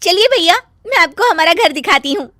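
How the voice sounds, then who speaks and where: A woman voicing a sweet, polite young daughter-in-law in an animated story. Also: fairly quick, no room sound, clean studio sound, exaggerated voice. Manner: high, eager, polite.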